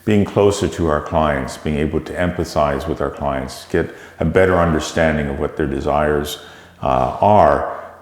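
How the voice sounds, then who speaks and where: A middle-aged man with a Canadian accent, a company CEO answering interview questions in a measured, explanatory way, with frequent fillers and restarts. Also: extremely low pitch. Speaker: tech company CEO guest. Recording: echoey room with long reverb.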